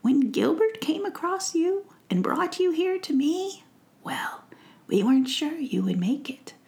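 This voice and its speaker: Woman playing a kindly squeaky old witch. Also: big pitch swings, unhurried, gravelly, a little mellow, full character voice. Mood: tender.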